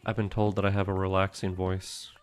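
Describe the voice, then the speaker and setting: A young man streaming a game from home, chatting with his viewers in a soft, meandering way. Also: low-pitched, completely flat delivery, fairly quick. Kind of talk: casual gameplay commentary.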